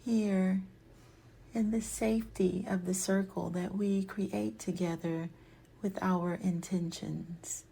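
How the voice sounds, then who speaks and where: A woman leading a guided yoga nidra meditation in a soft, soothing, hushed voice that often trails into a breathy whisper. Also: mid-range voice, fairly even intonation, takes it slowly.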